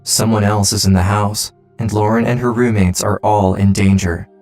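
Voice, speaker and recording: Man, low voice, dramatic true crime narrator, scripted delivery, music underneath. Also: steady tone, normal speed, darkish tone. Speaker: documentary narrator.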